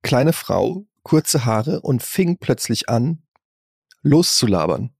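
Male podcast co-host, husky tone, casual storytelling, dry close sound. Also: medium pitch, very animated, slow pace, a little mellow, no echo. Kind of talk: casual chat, telling anecdotes.

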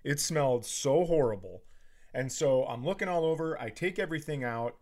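A man's voice: a little high, animated, normal speed, a little mellow. A man co-hosting a comedy podcast, telling everyday stories with profane, exasperated ranting. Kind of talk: casual comedic storytelling.

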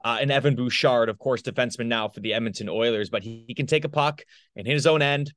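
Man, medium-pitched voice, casual sports analysis, conversational, loosely phrased, very dry recording. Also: quick pace, a bit bright, no room sound. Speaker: sports podcast co-host.

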